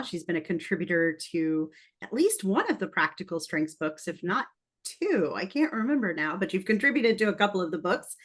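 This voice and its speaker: A woman hosting a casual interview over a video call, upbeat and enthusiastic. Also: medium pitch. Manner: upbeat, enthusiastic, laughs easily. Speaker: host.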